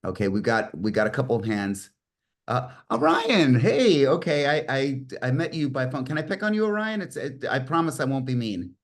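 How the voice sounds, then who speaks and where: A man's voice: mid-range voice, expressive, moderate pace. A middle-aged man hosting an online group meeting on a video call, reading statistics and joking with participants, often restarting his sentences. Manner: rambling, restarts, jokey with participants. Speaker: meeting host.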